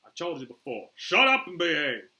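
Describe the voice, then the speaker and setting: A man's voice: medium pitch, lively intonation, moderate pace, pushed and bright, voice pushed up, poor audio. A man voicing a stern, commanding military officer in a story.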